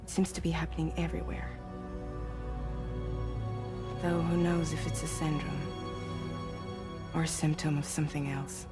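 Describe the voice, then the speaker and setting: An actress playing an earnest, compassionate crewmate in a video game, explaining things in a measured way. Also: female voice, low voice, level tone, over loud music, lightly acted. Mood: grave. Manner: measured, expository. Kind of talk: video game cutscene dialogue.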